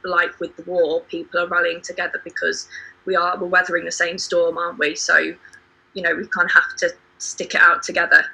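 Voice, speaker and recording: A woman talks casually in a group discussion. Her voice is very bright with a breathy husk, and her pitch stays fairly even as she rambles conversationally. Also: poor audio. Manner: conversational, frequent "like" and "you know". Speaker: theatre company performer on a panel. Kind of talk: casual chat.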